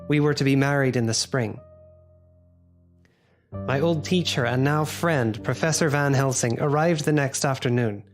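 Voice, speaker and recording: A male actor as a sincere Victorian doctor telling a dark tale. His mid-range voice is formal and grave, in audio drama style, with soft music underneath. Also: mid-range voice, lightly acted. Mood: grave recounting. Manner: grave, formal, measured storytelling. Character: earnest Victorian gentleman doctor narrating his fiancée's illness.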